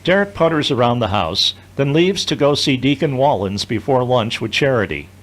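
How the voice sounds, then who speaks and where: A man's voice: medium pitch, lively intonation, normal speed, a bit bright. A man narrating an audio drama from a script in the third person.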